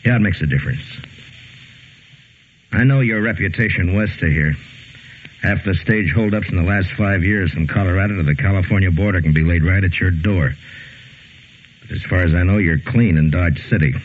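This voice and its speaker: A man with an American accent playing a stern, weary US Marshal in a 1950s radio western, dry and steady. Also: low-pitched, moderate pace, pauses often. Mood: cool menace. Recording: tinny noisy 1950s radio recording.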